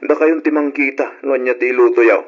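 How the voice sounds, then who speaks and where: A man's voice: medium pitch, fairly even intonation, quick pace, natural voice. A man playing an affectionate, respectful young father in a radio drama, with a mild manner. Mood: affectionate, easygoing. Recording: dull lo-fi radio recording.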